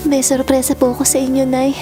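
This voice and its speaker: A woman plays a hopeful young Filipino eldest daughter in a melodrama. Her voice is fairly high, polite and earnest, over prominent music. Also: monotone, fast talker, natural voice. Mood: relieved, hopeful. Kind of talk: melodramatic drama acting. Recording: dramatic music bed.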